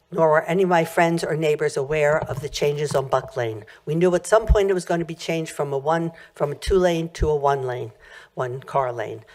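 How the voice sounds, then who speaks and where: A woman with a loud voice speaking at a township meeting's public comment, rambling earnestly with many fillers and restarts into a podium microphone. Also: sits low.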